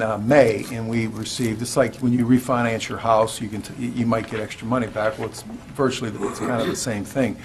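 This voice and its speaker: A man, a local official, explaining things plainly to the public at a meeting, halting and rephrasing as he goes. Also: medium pitch. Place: indoor public council meeting. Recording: clear background noise, room ambience.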